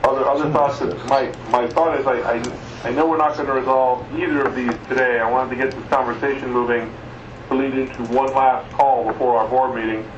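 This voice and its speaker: A man leading an informal meeting discussion, speaking haltingly as he thinks aloud. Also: mid-range voice, little pitch movement, noisy background. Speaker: work group chair running a conference call. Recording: muffled, roomy, distant conference phone line.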